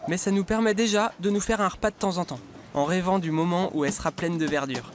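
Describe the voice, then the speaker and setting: A man's voice: high voice, fairly even intonation, fast, slight background noise. A man narrating a travel documentary voice-over in a light, self-mocking tone. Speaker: crew member narrating the episode. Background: music.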